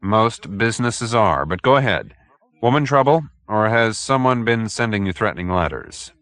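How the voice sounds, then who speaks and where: A man's voice: fairly low pitch, animated, moderate pace, fairly bright tone, no room sound. A man voicing a businesslike, skeptical private detective in an audiobook, blunt and probing with a dry manner.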